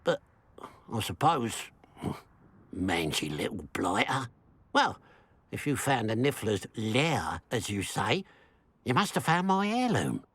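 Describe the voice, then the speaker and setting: An actor playing a bitter, suspicious village shopkeeper in a video game, grumbling with dramatic sarcasm. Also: male voice, medium pitch, very animated, slow, long pauses, fairly bright tone, slightly rough, dry recording, exaggerated voice. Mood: incredulous, grudging. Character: bitter, suspicious village shopkeeper man, resentful of his sister.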